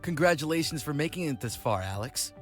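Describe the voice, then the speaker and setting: A man's voice: a little high, animated, a little fast, soft background music, lightly acted. Earnest young startup leader with swooping intonation man acting. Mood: courteous. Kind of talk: visual novel dialogue acting.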